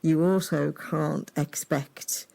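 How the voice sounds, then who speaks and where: A woman's voice: deep voice, fairly even intonation, slow pace, gravelly, darkish tone. A woman hosting a radio interview, conversational and chiming in with agreeing remarks.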